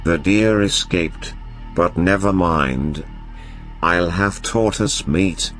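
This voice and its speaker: A man voicing a greedy, menacing hunter villain from a children's storybook, with a taunting, drawn-out delivery. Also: low-pitched, a bit bright, soft background music, voice pushed down. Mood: greedy, pleased.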